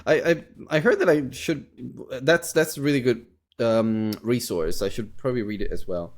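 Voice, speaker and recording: A man's voice: medium pitch, normal speed. Man hosting a casual interview podcast. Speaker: podcast host interviewing indie game developers.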